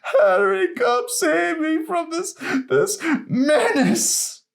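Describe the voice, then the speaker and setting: A man voicing a deranged, terrified and hostile old grandmother in an audiobook, crying out accusations in a shrill, drawn-out wail. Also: huge pitch swings, gravelly, exaggerated voice. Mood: desperate, pleading. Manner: shrill, wailing, dragged-out cries and accusations.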